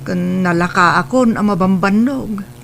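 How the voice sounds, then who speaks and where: A man's voice: high voice, measured pace, vocal fry, a bit bright, exaggerated voice. A man voicing a tired, subdued grown son and father in a family drama, with faint hum behind. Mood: weary.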